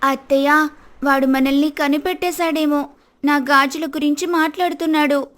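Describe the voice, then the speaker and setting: A woman's voice: high-pitched, fairly even intonation, moderate pace, a bit bright. A woman playing an anxious, obedient young daughter-in-law in a moral story, with a worried, whiny manner. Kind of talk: Telugu moral-story character acting.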